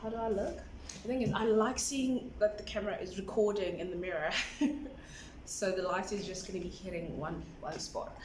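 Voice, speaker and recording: A woman's voice: mid-range voice, fairly even intonation, normal speed, a little mellow, slight background noise. Chatty woman in her twenties. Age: 20s. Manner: chatty, rambling, fills with "like", laughs often. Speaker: vlogger. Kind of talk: casual vlog chat. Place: indoor room at home. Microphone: camera on a stand. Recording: roomy, slightly distant mic with faint ambience.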